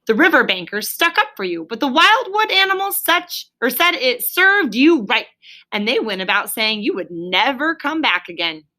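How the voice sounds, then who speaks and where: A woman reading a storybook, voicing a stern, exasperated male water rat who scolds with emphatic, drawn-out key words. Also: fairly high pitch, very animated, sharp tone, pitched above the performer's own voice. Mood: explaining.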